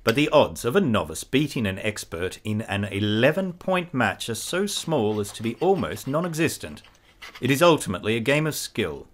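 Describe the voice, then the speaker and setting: A man's voice: medium pitch, sing-song, normal speed. A man playing an earnest, pedantic army officer in a radio play, arguing in a precise, didactic way. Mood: earnest, insistent.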